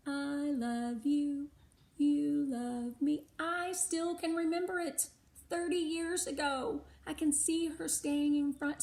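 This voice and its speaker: A middle-aged or older woman reading a storybook aloud to schoolchildren, animated, with chatty asides of her own. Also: high voice. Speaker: read-aloud host for schoolchildren.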